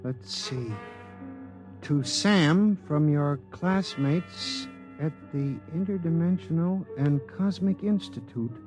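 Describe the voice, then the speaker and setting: A meek, bewildered young man, with a high voice and slow, hesitant delivery. He is easily flustered, in the manner of 1950s radio drama, with faint music behind him. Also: animated, a little mellow.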